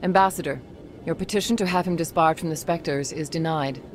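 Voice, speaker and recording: A woman playing a composed alien councilor in a video game, formal and measured. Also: deep voice, lightly acted. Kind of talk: video game cutscene acting. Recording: music behind game dialogue.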